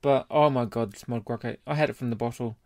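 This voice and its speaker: A man's voice: mid-range voice, fairly even intonation, a little fast, darkish tone, no room sound, studio quality. A British man co-hosting a casual beer podcast in a blokey, informal, sweary way. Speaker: podcast co-host.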